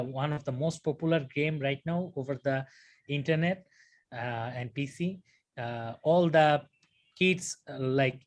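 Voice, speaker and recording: A young man with a Bangladeshi accent, answering a mock speaking exam with many fillers and restarts. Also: fairly high pitch. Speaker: test candidate.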